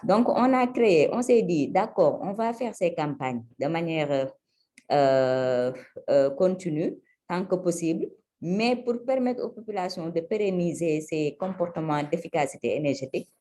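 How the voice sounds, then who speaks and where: Low mellow-voiced woman presenting. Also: expressive. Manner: measured, with frequent hesitations. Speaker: energy agency presenter. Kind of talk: webinar presentation. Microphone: video call.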